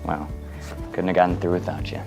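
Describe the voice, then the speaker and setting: A man's voice: deep voice, little pitch movement, fairly quick, gravelly. A man acting a sarcastic, teasing brother recovering from illness in a radio ad. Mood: wry teasing. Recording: music bed behind the voice.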